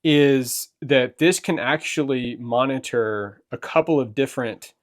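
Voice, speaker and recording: A man's voice: medium pitch, measured pace. A man hosting a casual chat, asking questions and summing up the main points in plain terms. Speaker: host, parent co-presenter.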